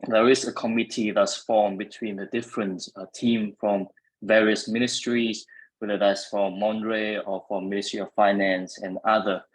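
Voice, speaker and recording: A man's voice: lowish voice, flat delivery, moderate pace, poor audio. A man with a Vietnamese accent moderating an online panel, hesitant with many fillers. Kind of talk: webinar moderation.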